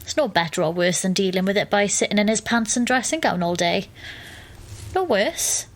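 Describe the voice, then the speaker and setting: A woman's voice: mid-range voice, normal speed, natural voice. A woman playing a wry, exasperated young woman, narrating and acting in an audio drama. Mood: wry, resigned. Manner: dry, wry, understated.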